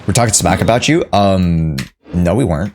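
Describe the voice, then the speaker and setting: A man live-streaming a game, chatting casually in a slangy, joking way with drawn-out phrasing. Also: sits low, lively intonation, noisy background.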